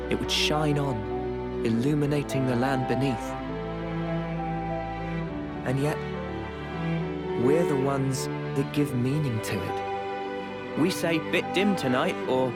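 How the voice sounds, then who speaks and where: Guy playing thoughtful young game hero, medium voice, earnest and reflective, loud soft sad music behind. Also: medium pitch, natural voice. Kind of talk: video game cutscene acting. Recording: loud music under dialogue. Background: sad new-age background music.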